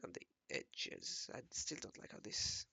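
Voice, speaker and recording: A man with a raspy voice talking casually to himself while he draws, mumbling and correcting himself as he goes. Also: a little slow, sharp tone, dry recording. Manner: mumbling and self-correcting, often murmuring half to himself. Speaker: hobby artist narrating his drawing session. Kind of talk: casual think-aloud commentary while drawing.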